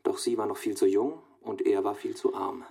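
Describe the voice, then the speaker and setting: An actor playing a studious young man dictating research notes in a radio drama, clipped, analytical and deliberate. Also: fairly low pitch, fairly even intonation, creaky, dark tone, husky. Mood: musing.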